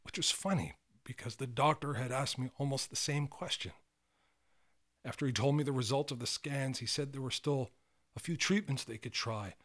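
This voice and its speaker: An actor playing a remorseful, dying old man who confesses haltingly in an audio drama monologue. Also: mid-range voice, fairly bright tone, close to an everyday voice. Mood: weary, reflective. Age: older.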